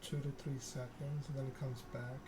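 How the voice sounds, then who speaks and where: A man streaming a game in soft ASMR style, reading story text aloud and chatting casually with viewers. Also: mid-range voice, flat delivery, normal speed, noisy background. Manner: reads narrative text aloud, chats with viewers.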